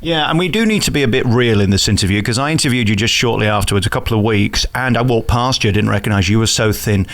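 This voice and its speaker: A British man interviewing a guest conversationally, with a direct, cheeky and flattering manner. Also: fairly low pitch, fast talker, hardly any pauses. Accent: British English. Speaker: radio interviewer. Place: theatre dressing room.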